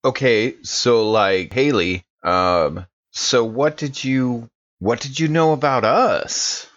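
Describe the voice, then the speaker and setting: A man's voice: mid-range voice, slow, a bit bright. A man playing a tabletop game, speaking casual dialogue in character, with slightly muffled sound.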